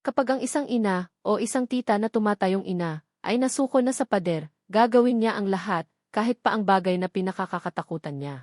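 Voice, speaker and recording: A woman's voice: medium pitch, a little fast, a little mellow, no echo. Filipino woman narrating dramatically, dry studio sound. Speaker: story narrator. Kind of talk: dramatic scripted story narration.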